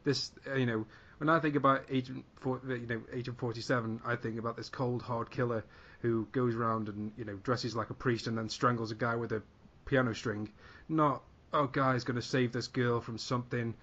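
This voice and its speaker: Exasperated man ranting, mid-pitched voice. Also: fairly even intonation, moderate pace, a bit bright, muffled recording. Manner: sweary, exasperated, repeats himself. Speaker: podcast panellist. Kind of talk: casual opinionated rant.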